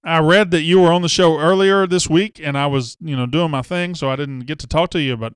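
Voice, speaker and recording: A man's voice: on the high side, fairly even intonation, fairly quick, clear, resonant tone, no room sound, clean studio sound. A man hosting a casual live show, chatting and reading viewer comments with self-mocking jokes. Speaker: host. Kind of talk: casual live-stream chat.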